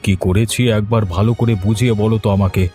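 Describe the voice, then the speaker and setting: A man's voice: on the low side, level tone, fast, mellow, close to an everyday voice. An actor playing a mild elderly village landowner in a cartoon story, wounded and placating as he protests innocence. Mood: hurt, placating. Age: older. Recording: music under the voice.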